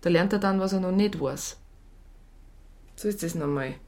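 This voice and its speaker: An actress playing a disillusioned police psychologist in a radio drama, blunt and sardonic. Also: female voice, on the low side, normal speed, a little mellow, natural voice. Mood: resigned, sardonic. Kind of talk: naturalistic radio drama dialogue.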